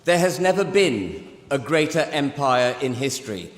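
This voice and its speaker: A man in his sixties, from Pakistan, giving a forceful, rhetorical debate speech with emphatic repetition. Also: medium pitch, big pitch swings, moderate pace. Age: 60s. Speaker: debate speaker. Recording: long hall reverb.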